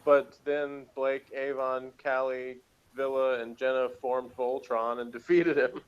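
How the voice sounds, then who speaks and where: A man's voice: mid-range voice, level tone, measured pace, dull audio. A man chatting casually as a guest reviewer, slangy and joking, often hesitant in his phrasing.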